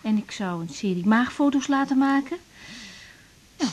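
Husky young woman doctor. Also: high voice, expressive, normal speed. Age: young adult.

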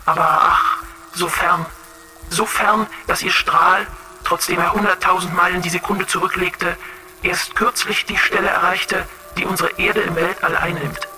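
A woman's voice: on the low side, fairly even intonation, unhurried, pushed and bright, soft background music, low-quality recording. An actress playing a serene, clairvoyant female android in a radio drama, speaking with dreamy eloquence. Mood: wistful, lofty. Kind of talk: German radio drama acting.

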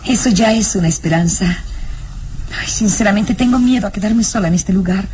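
A woman's voice: medium pitch, brisk, darkish tone, slight background noise, close to an everyday voice. An actress playing a tender, anxious young woman in a Spanish-language radio drama, pleading and hesitant, with sighs.

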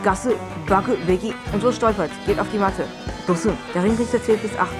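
A woman's voice: mid-range voice, a little fast, over music. A woman giving dramatised radio narration, punctuated with sound-effect words.

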